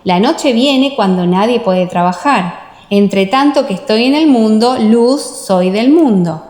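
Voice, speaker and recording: A woman voicing a solemn, assured male religious teacher with measured, emphatic delivery in a dramatized audiobook. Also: fairly high pitch, expressive, a little mellow, roomy sound, in a large hall. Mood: solemn teaching. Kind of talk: audiobook radio-theatre dialogue.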